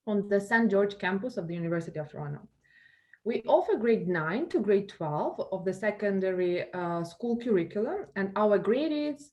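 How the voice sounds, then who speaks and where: A woman's voice: mid-range voice, darkish tone. A woman with a Ukrainian accent moderating a roundtable Q&A, speaking with many fillers and restarts. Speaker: moderator of a school alumni panel. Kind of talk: hosting a roundtable Q&A.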